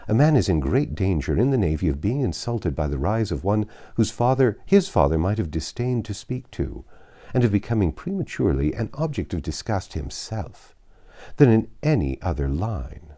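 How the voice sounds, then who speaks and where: A man's voice: low voice, very animated, normal speed, dark tone. A man reading aloud as a vain, haughty older baronet in a classic novel, with a pompous, emphatic delivery. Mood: disdainful, indignant. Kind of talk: theatrical audiobook character reading.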